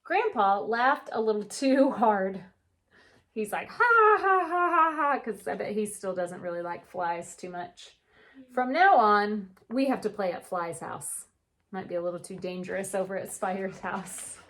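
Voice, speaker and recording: A woman reading a picture book aloud like a teacher, with animated, drawn-out delivery and chatty asides. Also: mid-range voice. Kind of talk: expressive children's read-aloud with chatty asides.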